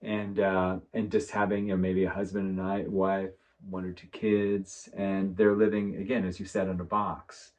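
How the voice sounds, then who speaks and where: A man's voice: sits low, level tone, normal speed, hardly any pauses, darkish tone. A man, an architect guest, talking thoughtfully in a rambling way with many fillers in a casual conversation. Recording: distant from the mic.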